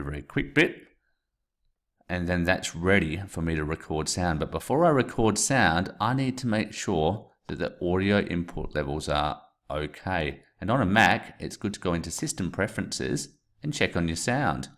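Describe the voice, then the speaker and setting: A man's voice: low voice, big pitch swings, normal speed, fairly bright tone. A man in his thirties talking through a screen-recorded tutorial step by step into a USB desk mic in a small room. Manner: plain step-by-step explaining with asides. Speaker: teacher. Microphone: USB desk mic, webcam framing.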